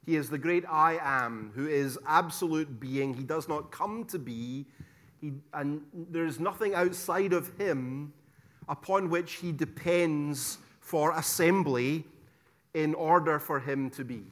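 A middle-aged Scottish man giving a theology lecture, stressing key words loudly, dropping to quiet asides and asking rhetorical questions. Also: a little high, a little slow. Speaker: preacher teaching theology.

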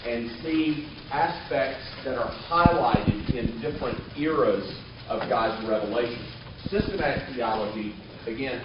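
A man, a theology professor, giving an explanatory answer deliberately and slowing down for emphasis. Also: medium pitch. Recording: distant roomy lo-fi sound with noise.

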